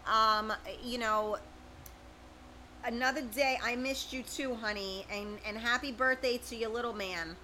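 A woman's voice: on the high side, fairly even intonation, unhurried, sharp tone, a little hiss or hum. A middle-aged woman, hosting a casual livestream, reading viewer comments and chatting with them by name. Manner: chatty, informal, talks straight to viewers by name. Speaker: livestream host.

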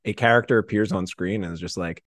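A man chatting casually as a podcast guest in a rambling, animated, slangy way. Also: lowish voice, fast, dry recording. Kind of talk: casual chat.

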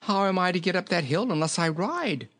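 Fat jolly male king, high swooping voice, bubbly, chuckling, storybook character. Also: very animated, takes it slowly, gravelly, heavily acted. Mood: pleading, amused. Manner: chuckling, exclaiming, repeating himself, bubbly.

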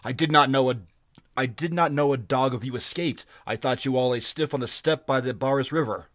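A man's voice: medium pitch, a little fast, a bit bright, no room sound, studio quality, lightly acted. Man playing an alarmed, cornered warlord. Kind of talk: dramatic audiobook character reading.

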